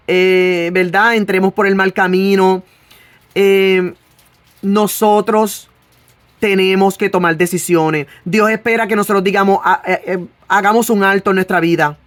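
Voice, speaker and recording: Woman, preacher giving testimony, earnest, drawn-out emphasis, many fillers, dry sound. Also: mid-range voice, no room sound. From Puerto Rico. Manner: earnest, stretches key words, frequent "eh" and "¿verdad?" fillers. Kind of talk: sermon with personal testimony.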